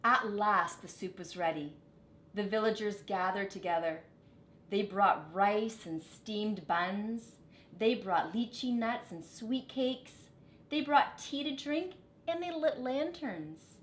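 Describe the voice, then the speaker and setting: A woman reading a children's story aloud with expressive, dramatic phrasing. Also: takes it slowly. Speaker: storybook reader. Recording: echoey room, faint low rumble.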